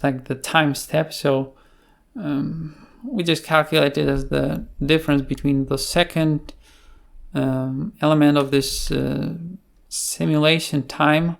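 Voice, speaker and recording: A man presenting an unscripted screencast coding tutorial in a hesitant, halting way, with pauses between phrases. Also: fairly high pitch, gravelly.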